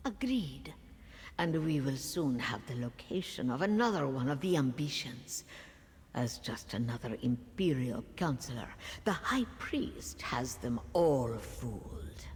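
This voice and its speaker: An actress playing a conspiring cultist in a video game, formal and secretive in manner. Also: female voice, extremely low pitch, big pitch swings, measured pace, darkish tone, big-room sound, heavily acted. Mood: conspiratorial. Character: conspiring female cultist reporting on a secret mission.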